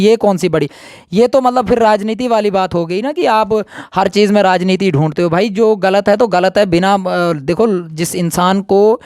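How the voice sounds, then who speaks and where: A man's voice: sits high, a little mellow, dry recording, clean studio sound. A man giving his opinion in an impromptu interview, speaking emphatically and repeating his points. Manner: emphatic, repetitive.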